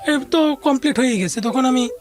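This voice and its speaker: A woman's voice: sits high, animated, moderate pace, a little mellow, soft background music. A woman with a Bangladeshi accent telling a personal life story as an interview guest, conversationally, often voicing what others said.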